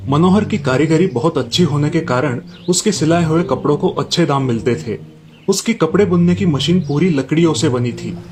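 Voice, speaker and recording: Fairly high-voiced male storyteller. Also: normal speed, a little mellow. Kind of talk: scripted narration of a children's moral tale.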